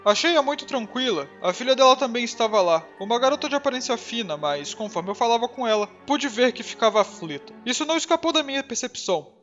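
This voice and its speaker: A man's voice: very high-pitched, animated, fast, few pauses, fairly bright tone, dull audio, faint music behind. Man acting a pompous, boastful police detective. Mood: self-satisfied. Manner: pompous, emphatic. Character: pompous, self-satisfied male police detective, boastful and excitable.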